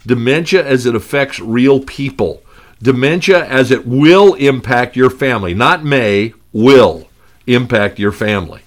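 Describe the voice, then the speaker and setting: A man with a gravelly voice, hosting a talk show and speaking in a halting way with many fillers and restarts. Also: mid-range voice, lively intonation. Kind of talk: conversational radio talk.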